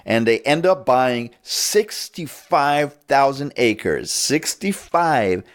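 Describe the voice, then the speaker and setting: Man, talk show host, brash, teasing, casual monologue, medium pitch. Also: takes it slowly. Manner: brash, teasing, lively delivery.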